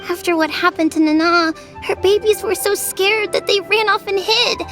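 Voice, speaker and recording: A woman's voice: very high voice, normal speed, a bit bright, soft background music. A woman voicing an earnest, anxious young child from a tribe in a video game. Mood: worried.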